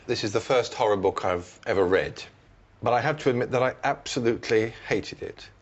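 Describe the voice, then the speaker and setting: A man on a book discussion panel, giving criticism in measured, formal, deliberate phrases. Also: medium pitch, dull audio.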